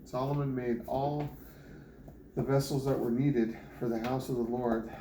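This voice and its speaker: Even-toned man reading scripture in echoey room. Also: mid-range voice, unhurried. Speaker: Bible reader. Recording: roomy echo with clear background noise.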